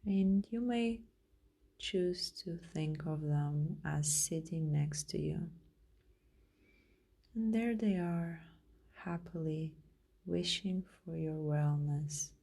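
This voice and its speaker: A woman leading a guided meditation in a gentle, soothing, unhurried way with long pauses, her voice soft and at times breathy. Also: low-pitched. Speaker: meditation guide, podcast host.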